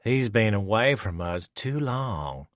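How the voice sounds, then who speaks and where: A sly, teasing woman villain from a storybook, voiced by a man reading aloud. Her lines sit somewhat above his own low voice and swing freely. The sound is dry and close. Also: animated, takes it slowly, dry recording. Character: sly, teasing female villain, dark-haired.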